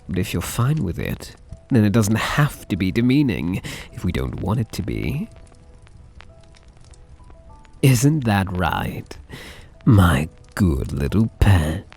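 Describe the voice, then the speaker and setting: Man playing a husky, slow, doting yandere mafia heir. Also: lowish voice, heavily acted. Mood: tender, teasing. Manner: tender, coaxing, softly possessive. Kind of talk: ASMR roleplay, intimate monologue to listener.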